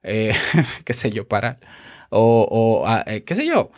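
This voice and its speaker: A man from the Dominican Republic with a Dominican Spanish accent, hosting a podcast and teaching speaking tips in a casual, conversational way, often checking in with a quick "¿ya?". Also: fairly low pitch, big pitch swings. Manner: conversational, tag questions like "¿ya?". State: a bit out of breath. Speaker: podcast host. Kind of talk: instructional podcast monologue.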